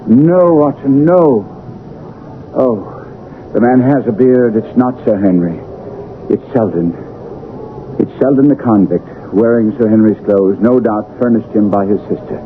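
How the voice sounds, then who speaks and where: An actor playing a commanding, keen-minded detective in a 1970s radio drama, theatrical, assured and precise. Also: male voice, fairly low pitch, a little slow, lightly acted. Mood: urgent, agitated. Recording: muffled, narrow-band vintage radio, faint hiss.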